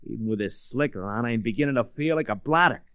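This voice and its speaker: A griping Brooklyn sidekick from an old radio play. His pitch swings widely, and he complains in wisecracking street slang. The recording is dry and close. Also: animated, quick pace, fairly bright tone, no room sound. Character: harmless, griping working-class male Brooklyn Irish sidekick. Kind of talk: 1940s radio drama acting.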